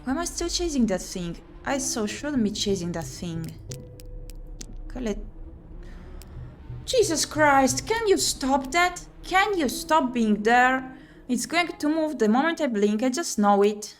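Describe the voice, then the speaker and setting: A woman doing let's-play commentary, reading game narration aloud and muttering and exclaiming to herself in an expressive way. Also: high-pitched, a little slow. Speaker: streamer playing and reading a visual novel. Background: music.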